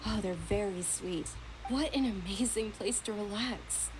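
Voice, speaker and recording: A woman's voice: mid-range voice, a little slow, noisy background, lightly acted. A woman voicing a polite, cheerful young noblewoman in a video game, bubbly and easily delighted.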